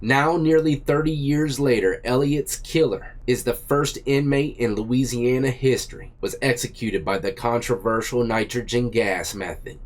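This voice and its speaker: Man, congested, casual true crime host, blunt and slangy, medium pitch. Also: normal speed, hardly any pauses. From Virginia. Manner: blunt, slangy, animated asides.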